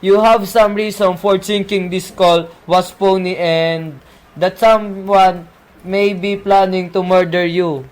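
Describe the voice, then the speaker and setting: Man acting high-voiced, placating police sergeant. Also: level tone, takes it slowly, low-quality recording. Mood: dismissive, reasoning. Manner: placating, matter-of-fact. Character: patient, dismissive police sergeant taking a call. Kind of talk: amateur radio play acting.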